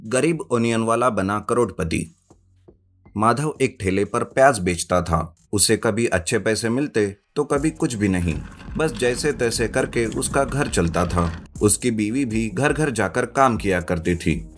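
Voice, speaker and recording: A man's voice: on the low side, expressive, a little slow. A man reading scripted children's story narration as the narrator. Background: background music.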